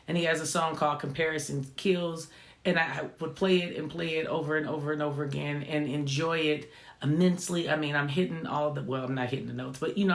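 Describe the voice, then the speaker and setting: A woman of about fifty with a low voice she calls not feminine, hosting a reflective vlog and talking conversationally, often tagging lines with "right?". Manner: conversational, often adding "right?" tag questions. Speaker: channel host. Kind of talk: reflective motivational vlog talk.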